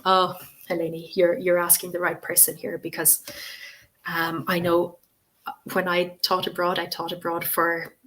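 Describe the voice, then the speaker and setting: A woman's voice: on the low side, completely flat delivery, unhurried, fairly bright tone, poor audio. A woman in her forties with an Irish accent, presenting a teacher-training webinar and answering questions in a friendly, chatty way with many fillers. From Ireland. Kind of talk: live webinar Q&A.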